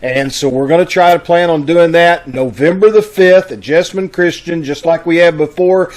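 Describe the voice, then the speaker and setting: Male host, slightly high voice, folksy and informal, community announcements over video call. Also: moderate pace, a little mellow. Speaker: host of an amateur radio theater troupe. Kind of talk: informal announcements to an online audience.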